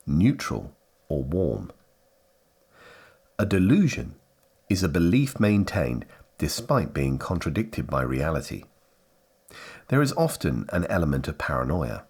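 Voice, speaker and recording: A man reading scripted instructional narration for a training film in a measured, carefully phrased way. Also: deep voice, slightly rough.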